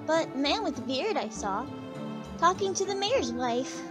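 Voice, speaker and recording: A woman playing a curious, innocent young village boy in an audio drama, childlike, with simple halting phrasing. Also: high voice, very animated, music in the background. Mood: earnest.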